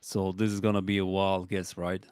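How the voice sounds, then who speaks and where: A man from Europe with a lowish voice teases the others in a dry, ribbing way. His mic sounds close and completely dry, with no room sound. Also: little pitch movement, normal speed, darkish tone, smooth, no echo. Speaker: chat participant. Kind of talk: casual live stream chat.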